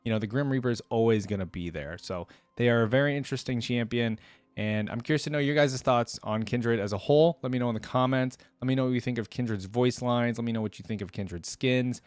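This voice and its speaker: A man's voice: mid-range voice, quick pace, a little mellow, smooth, no room sound. Casual man, dry close studio sound. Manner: conversational, rambling, hedging asides. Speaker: YouTube reaction host. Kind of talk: casual unscripted game reaction commentary to viewers.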